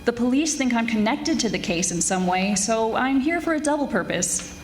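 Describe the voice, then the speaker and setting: A woman playing a brash, wisecracking young private detective in a 1940s-style radio drama, sassy and quick-witted. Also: on the high side, animated, slight background noise, close to an everyday voice. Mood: pushy, confident. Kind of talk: 1940s radio detective acting, dialogue and narration. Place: live stage performance of a radio play.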